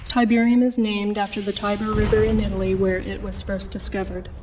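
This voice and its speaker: An actress playing a sober, factual documentary narrator in a video game cutscene, speaking in a formal, informational way. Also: female voice, mid-range voice, level tone, a little fast, a little mellow, faint music behind, close to an everyday voice.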